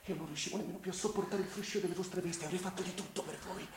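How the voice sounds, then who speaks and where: A man's voice: high voice, steady tone, rapid-fire, lightly acted. An actor playing an obsessive, lovelorn man who pleads intensely and formally in a theatrical radio drama. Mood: desperate passion. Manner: intense, imploring, formal address. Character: obsessive lovelorn man, desperate, pleading, guilt-stained seducer. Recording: roomy, distant, noisy stage-like radio recording.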